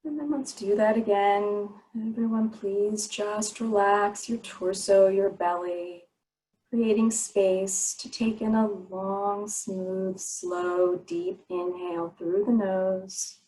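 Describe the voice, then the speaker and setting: A woman leads a guided relaxation practice as a meditation teacher. Her mid-pitched voice gives soothing instructions in short, unhurried phrases, leaving pauses between them. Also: fairly even intonation, warm tone, rough recording. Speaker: yoga nidra meditation teacher.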